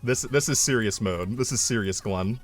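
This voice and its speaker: A young man chatting casually on his live stream, mocking himself and breaking into loud triumphant yells. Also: lowish voice, normal speed, soft background music.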